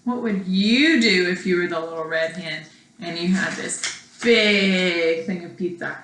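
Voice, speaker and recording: A woman's voice: fairly low pitch, unhurried. A woman schoolteacher reading a children's storybook aloud expressively, as if to her young students. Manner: expressive, asks young listeners questions. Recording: echoey room.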